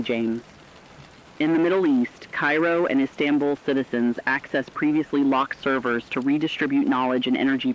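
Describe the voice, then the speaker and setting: A man's voice: medium pitch, close to an everyday voice. Muffled actor as earnest male radio co-anchor. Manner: formal, earnest. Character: radio co-anchor reporting hopefully on a rebuilding world. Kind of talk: scripted news broadcast narration.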